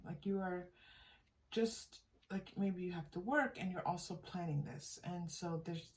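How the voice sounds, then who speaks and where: A woman giving an improvised spiritual tarot reading straight to the viewer, in a halting, conversational way that often seeks agreement. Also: mid-range voice, a little mellow, recorded from a distance, poor audio. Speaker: tarot reader and channel host.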